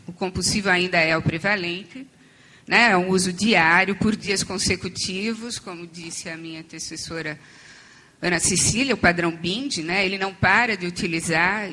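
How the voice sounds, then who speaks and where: A woman with a hoarse voice, close to losing it, gives a conference talk on treatment, explaining step by step with frequent fillers and restarts. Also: mid-range voice, sharp tone, poor audio. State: losing her voice. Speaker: conference panel speaker on addiction treatment. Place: conference hall.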